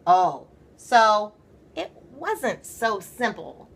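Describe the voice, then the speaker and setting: A woman reading a children's story aloud, with playful, theatrical emphasis and drawn-out words. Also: medium pitch, very animated, gravelly. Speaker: storybook reader. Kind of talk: animated children's read-aloud.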